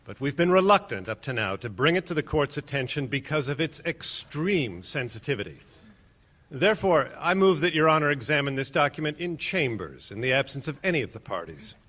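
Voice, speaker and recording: An actor playing a careful government lawyer who questions a witness formally. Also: male voice, mid-range voice, big pitch swings, a little fast, a bit bright. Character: measured male government prosecutor.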